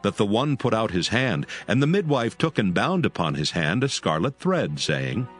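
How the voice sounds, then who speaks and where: Brief: low male narrator, expressive, dry close sound with faint music. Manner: measured, solemn archaic reading. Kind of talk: scripted scripture narration.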